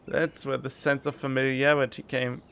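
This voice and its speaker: A man's voice: mid-range voice, gravelly, fairly bright tone. A man giving casual live commentary as a game streamer, searching for his words.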